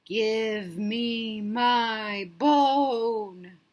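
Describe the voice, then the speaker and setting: Eerie, drawn-out storybook ghost. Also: female voice, a little high, a little mellow. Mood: eerie, insistent. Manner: very slow, drawn-out demands. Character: ghost in a closet demanding his bone, insistent, eerie.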